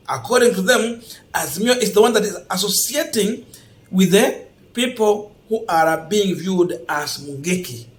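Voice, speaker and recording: A man with a Kenyan accent delivers political news commentary in a high-pitched voice. He speaks as a channel host addressing viewers, deliberate and emphatic, driving his points home through repetition. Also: fairly bright tone.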